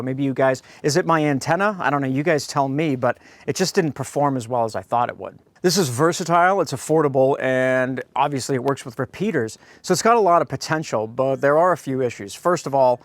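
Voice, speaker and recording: A man's voice: medium pitch, no room sound. An American man hosting a product review, speaking to viewers in a blunt, opinionated way. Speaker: host of a radio review.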